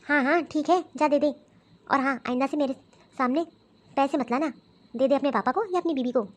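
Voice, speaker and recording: A scheming, domineering older mother-in-law played by a woman in a homemade family drama. Her voice sits high, and her tone is commanding and grumbling as she barks orders and complains. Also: brisk, lightly acted. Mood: scornful. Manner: scolding, commanding, grumbling to herself. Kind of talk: family skit acting.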